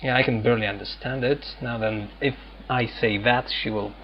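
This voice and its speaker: A man's voice: mid-range voice, steady tone, fairly quick, dull audio, a little hiss or hum. A man giving casual let's-play commentary as a gaming streamer.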